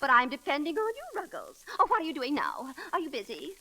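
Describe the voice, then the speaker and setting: An actress playing a flighty, bossy society matron in 1930s radio comedy, gushing breathlessly on an old, hissy recording. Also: female voice, sits high, huge pitch swings, fairly quick, bright, forceful tone, heavily acted, low-quality recording. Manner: breathless, effusive, affected.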